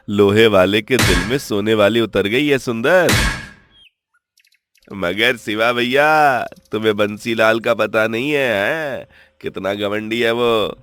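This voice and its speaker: A man's voice: fairly low pitch, slow pace, a bit bright, a little hiss or hum. A man voicing a teasing, cautioning young village pal in a Hindi cartoon story. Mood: warning. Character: Sundar, teasing, cautioning village friend of the blacksmith.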